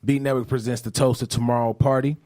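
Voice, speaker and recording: A man's voice: medium pitch, little pitch movement, fast talker, darkish tone, no echo, studio quality. A man co-hosting a podcast with casual, slangy banter and plugs. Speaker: podcast co-host.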